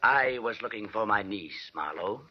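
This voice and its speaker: An actor playing a fussy, disapproving older uncle in a 1940s radio drama, pompous and dismissive. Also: male voice, on the low side, expressive, unhurried. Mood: smoothing over. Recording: dull old radio recording.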